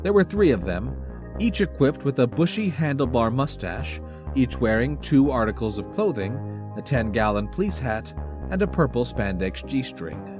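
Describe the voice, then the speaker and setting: Animated male story narrator over music. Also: medium pitch, normal speed. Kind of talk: animated scripted fiction narration.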